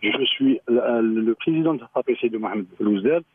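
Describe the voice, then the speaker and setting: A man, a local mayor, speaking on a radio phone-in, halting and repeating words, with a choked-up voice. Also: mid-range voice, slight background noise. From Algeria. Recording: tinny phone line.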